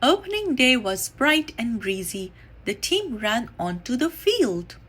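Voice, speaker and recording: A woman reading a children's story aloud in an animated, deliberate storytelling manner. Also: on the high side, slight background noise. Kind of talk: expressive read-aloud storytime narration.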